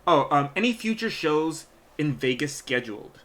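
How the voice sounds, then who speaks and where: A man's voice: on the high side, sing-song, moderate pace, fairly bright tone. A man hosting a casual live stream, hesitantly reading out viewer questions with many fillers. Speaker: stream host.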